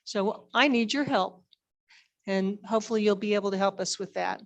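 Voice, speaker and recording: A woman presenting informally to a members meeting as an organization director, in a halting, conversational way. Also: mid-range voice.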